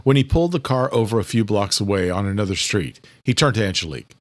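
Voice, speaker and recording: A man's voice: fairly low pitch, fast, dry recording. A man giving dramatic scripted narration as an audiobook narrator.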